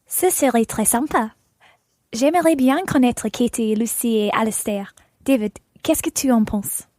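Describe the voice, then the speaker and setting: A woman's voice: on the high side, a little slow, warm tone, dry recording, clean studio sound. A woman playing a polite, agreeable holidaymaker in an educational radio play. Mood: polite, pleased. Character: polite, agreeable female holidaymaker dining with new friends.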